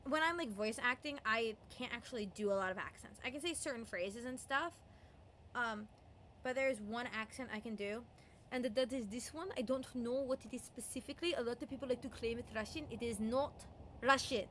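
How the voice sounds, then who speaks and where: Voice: medium pitch, fairly quick, fairly bright tone, slight background noise. A young adult game streamer chatting casually and rambling with lots of "um" and "like", fond of doing voices. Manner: rambling, full of "um" and "like"; does playful voices and mimicry. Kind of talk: casual live-stream chat.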